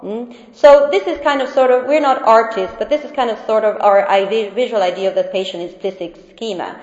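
A woman's voice: fairly high pitch, fairly quick, a little mellow. A woman with an Argentine accent, a native Spanish speaker, presenting research findings in an academic talk, hesitant with many fillers and restarts. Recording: echoey hall, dull sound.

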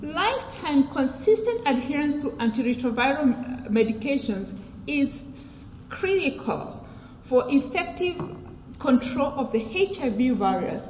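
A woman from Kenya with a British accent, giving a measured academic presentation with fillers and restarts. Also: sits high, very animated. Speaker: research presenter. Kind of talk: academic conference talk. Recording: echoey hall, dull sound, faint noise.

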